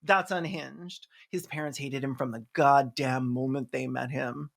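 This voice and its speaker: A mid-pitched video essayist recaps a movie for comedy. The delivery is sardonic and theatrical, with playful mock seriousness. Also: fairly even intonation, measured pace, vocal fry. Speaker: video essayist and film reviewer. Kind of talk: sarcastic comedic recap commentary.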